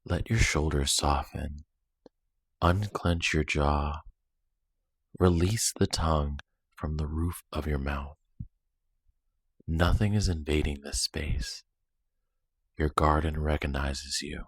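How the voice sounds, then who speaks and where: Very deep, slow, husky male meditation guide. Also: steady tone, no echo. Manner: slow, soothing, deliberate with long pauses.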